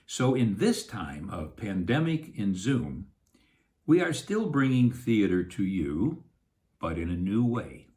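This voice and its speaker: A man's voice: lowish voice, darkish tone. A man, an actor giving a scripted introduction to a program.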